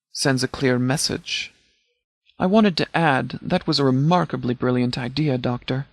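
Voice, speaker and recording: A man playing a stern, principled post-apocalyptic sheriff in a video game, speaking in a formal, measured, articulate way. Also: medium pitch, a bit bright, no echo, studio quality, close to an everyday voice. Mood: approving, matter-of-fact. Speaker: voice actor in a game mod. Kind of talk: scripted video game character dialogue.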